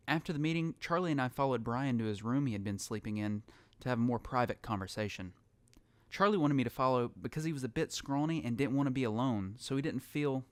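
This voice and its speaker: A man's voice: medium pitch, no room sound, close to an everyday voice. A man playing a lonely, haunted survivor, narrating his story in a somber, reflective way for an audio drama. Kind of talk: scripted first-person audio drama narration. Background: music.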